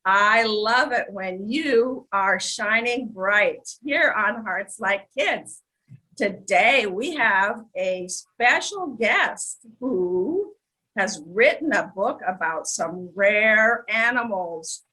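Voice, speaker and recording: Bright, exclamatory woman hosting for kids. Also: medium pitch, animated, drawn-out words, rough recording. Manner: exclamatory, addresses children directly. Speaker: host of children's story show. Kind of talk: children's show presenting.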